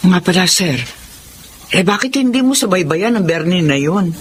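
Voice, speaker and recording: An actress playing a respectful, gossipy household maid who speaks hesitantly, with a Filipino accent, in a radio drama. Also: female voice, on the low side, lively intonation, moderate pace, loud music behind the voice, close to an everyday voice. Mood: conspiratorial. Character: deferential, gossipy household maid, concerned and conspiratorial.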